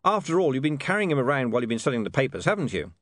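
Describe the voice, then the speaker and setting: A man voicing an earnest, brisk young male reporter in an audiobook. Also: mid-range voice, sing-song, a bit bright, dry recording, pitched above the performer's own voice. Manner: earnest, brisk, slightly flustered.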